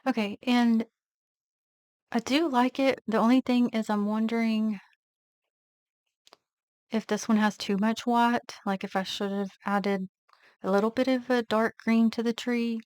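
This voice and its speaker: A woman vlogger casually narrating her nail art step by step, thinking aloud in a relaxed, unhurried way. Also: a little high, clear, resonant tone, dry recording, studio quality.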